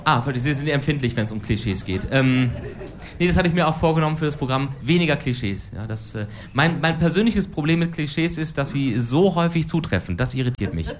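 A woman's voice: rumbling low, expressive, a little fast. Dry, ironic cabaret woman in her forties. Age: 40s. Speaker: cabaret performer. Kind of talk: stand-up political cabaret. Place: stage before a live audience.

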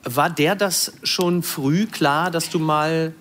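A woman's voice: deep voice, slow pace, a little hiss or hum. A woman hosting a conversational interview, asking attentive follow-up questions. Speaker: interviewer, host.

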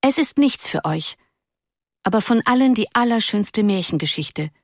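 A woman voicing a willful, dreamy teenage schoolgirl who speaks emphatically and declaims verse softly. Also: medium pitch, expressive, moderate pace, no room sound, studio quality, voice pushed up, lightly acted. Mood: teasing.

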